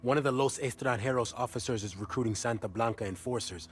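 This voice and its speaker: A man's voice: mid-range voice, fast, close to an everyday voice. Guy doing a wry intel handler, middle voice, light music under. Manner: informative, wry.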